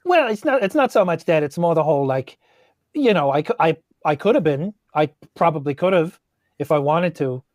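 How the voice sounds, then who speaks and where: A man's voice: on the high side, animated, normal speed, darkish tone, no room sound, studio quality, lightly acted. A man playing a small, centuries-old forest gnome sorcerer in a tabletop game, rambling and tentative, a little defensive. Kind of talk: improvised tabletop role-play.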